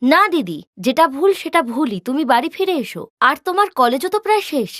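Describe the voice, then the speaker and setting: An earnest young village girl in a cartoon, voiced by a woman. She speaks high and expressively, pleading politely and with reproach, recorded very dry. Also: sing-song, fast, fairly bright tone, dry recording. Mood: reproachful. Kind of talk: cartoon character dialogue.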